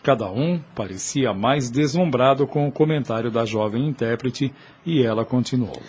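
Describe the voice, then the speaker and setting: Husky Brazilian male narrator. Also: medium pitch, normal speed, a little mellow. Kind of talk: scripted audio drama narration.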